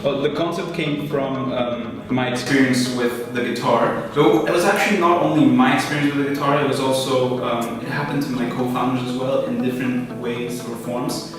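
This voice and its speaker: A man's voice: medium pitch, normal speed, hardly any pauses. Young guy from peru explaining his startup, lots of ums, roomy echo, music under it. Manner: relaxed, thoughtful, many fillers. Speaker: startup founder. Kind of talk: interview answers. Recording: echoey room, far from mic, music behind.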